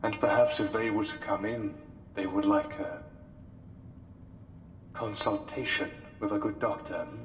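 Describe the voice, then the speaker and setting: A man voicing an otherworldly, courtly plague doctor in an intimate ASMR roleplay, speaking formally and deliberately with hesitant pauses. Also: on the low side, steady tone, voice pushed up, lightly acted. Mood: slyly menacing. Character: courtly, intense male plague doctor, an otherworldly anomalous being. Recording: echoey hall reverb, music behind. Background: music.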